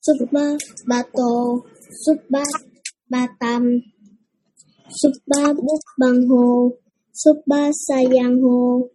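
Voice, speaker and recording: High mellow female student, slow careful reciter, faint noise. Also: flat delivery, low-quality recording. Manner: slow, careful, deferential reading. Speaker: lay student. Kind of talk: reading Pali aloud in class.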